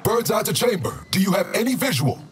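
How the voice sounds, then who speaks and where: An actor playing a stern, steady tactical operations leader, giving clipped, authoritative orders over a radio in a video game. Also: male voice, high voice, brisk. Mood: urgent. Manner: clipped, authoritative military delivery. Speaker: mission commander. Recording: noisy military radio with vehicle ambience.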